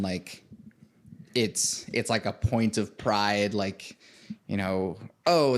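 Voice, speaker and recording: A man from Venezuela talks loosely about his life in music, his sentences looping through likes and small laughs. His voice sits a little on the low side. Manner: rambling, full of likes and fillers, laughs often. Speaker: composer and podcast host, interviewed as guest. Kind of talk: casual interview chat.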